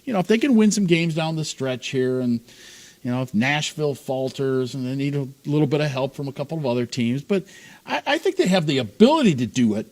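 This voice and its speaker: A man's voice: mid-range voice, expressive, brisk, a little mellow. A man co-hosting a sports talk show, asking skeptical questions in casual banter.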